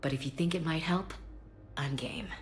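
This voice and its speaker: A woman's voice: low-pitched, moderate pace, soft background music, close to an everyday voice. A woman playing a tough, candid soldier in a video game, plain-spoken with a dry sense of humour. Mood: resolute, wry. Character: tough, candid female soldier, frank and dryly humorous.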